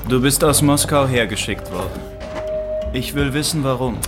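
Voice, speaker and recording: An actor playing a curt, commanding army lieutenant in a radio play, giving short orders with suspicion. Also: male voice, medium pitch, normal speed, loud music behind the voice, close to an everyday voice. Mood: stern, suspicious. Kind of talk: German radio play acting.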